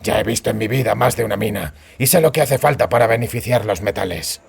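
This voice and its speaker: A man voicing a gruff, curt monster hunter in an audiobook, gravelly and acidly sardonic. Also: fairly low pitch, fast, exaggerated voice. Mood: acid, impatient. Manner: acid, terse. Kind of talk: audiobook character dialogue.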